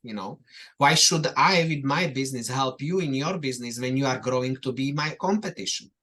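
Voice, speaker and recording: A man's voice: mid-range voice, fairly quick, pushed and bright. A man talking about business coaching in a casual podcast conversation, hesitant and full of fillers.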